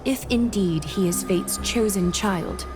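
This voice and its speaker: An actress playing a commanding female demigod ruler in a video game, speaking in a formal, measured, solemn way. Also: mid-range voice, natural voice. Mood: solemn, thoughtful. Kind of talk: scripted video game acting. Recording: music behind the voice.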